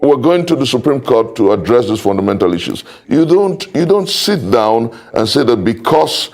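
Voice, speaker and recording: A man's voice: on the low side, creaky, darkish tone. A Nigerian man giving argumentative political commentary on a panel, emphatic and formal, repeating phrases for weight in legalistic language.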